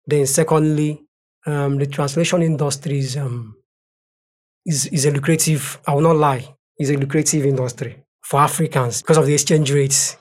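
A man's voice: a little high, steady tone, normal speed, a little mellow. A man from Nigeria with a Nigerian accent, a native speaker of Igbo and Nigerian Pidgin, answering interview questions as a translator and repeating points for emphasis. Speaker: translator, podcast guest.

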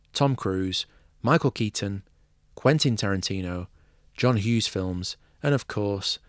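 Mancunian man hosting a movie podcast, low voice. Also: no room sound, clean studio sound. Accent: Mancunian English. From England. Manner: chatty, enthusiastic movie fan. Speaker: podcast host. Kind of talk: scripted intro then casual interview.

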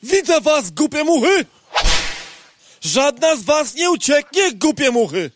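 A man's voice: extremely high pitch, sing-song, measured pace, noisy background, exaggerated voice. A man playing a gluttonous, menacing frog in a radio play, taunting and chanting as it hunts. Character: menacing, gluttonous, gloating frog hunting flies.

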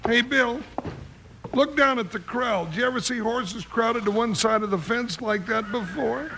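A noisy old radio recording features a high-pitched comic cowboy sidekick. He speaks in a colorful country drawl, folksy and easily rattled. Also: normal speed, creaky, pushed and bright, heavily acted. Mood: uneasy, creeped out. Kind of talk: 1950s western radio acting.

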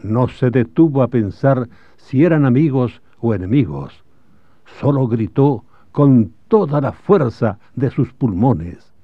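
A man with a Chilean accent narrates a historical radio drama in a formal, measured storytelling manner. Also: medium pitch, animated, no echo. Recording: dull old radio drama recording.

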